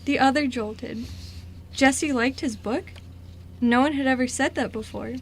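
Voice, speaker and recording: A woman's voice: high-pitched, measured pace. A woman reading scripted story narration for an amateur radio drama. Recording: noisy amateur radio recording.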